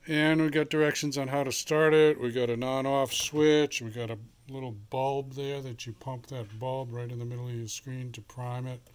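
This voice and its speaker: A middle-aged man talks through a home tool demonstration in his garage, plainspoken and rambling, full of "uh"s as he thinks aloud. His voice is clear and smooth at an ordinary mid pitch, picked up by the camera's own mic. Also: steady tone, normal speed, few pauses. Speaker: channel host. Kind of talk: casual how-to demonstration. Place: home garage workshop, then outdoors.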